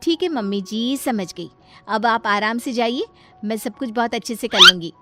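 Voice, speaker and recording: A woman's voice: on the high side, expressive, moderate pace, loud music behind the voice, natural voice. A woman voicing a gullible, melodramatic young daughter-in-law in an acted moral story, whiny and theatrical. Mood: earnest, insistent. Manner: theatrical, whiny, wailing when upset.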